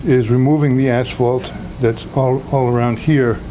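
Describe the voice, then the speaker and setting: A man with a naturally loud voice speaks to a town commission about his home project. He talks plainly, stopping often to gather his words. The sound is a noisy meeting-room recording. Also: mid-range voice, steady tone. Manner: plainspoken, halting with fillers. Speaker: homeowner applicant. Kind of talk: presenting a project at a public meeting.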